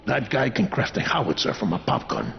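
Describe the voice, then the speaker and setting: A man's voice: on the low side, big pitch swings, brisk, vocal fry, muffled recording. An actor playing a matter-of-fact male survivor passing on messages in a video game. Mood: helpful, matter-of-fact. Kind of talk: video game cutscene acting.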